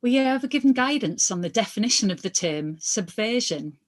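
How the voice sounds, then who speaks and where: A woman's voice: on the high side, animated, fairly quick. A woman reading a lawyer's questions aloud from a hearing transcript, formal and inquiring, with occasional stumbles.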